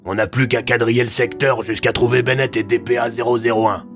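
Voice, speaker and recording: A man voicing a confident, optimistic army colonel in an audio drama, briskly addressing his men. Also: mid-range voice, steady tone, faint music behind, close to an everyday voice. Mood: upbeat briefing.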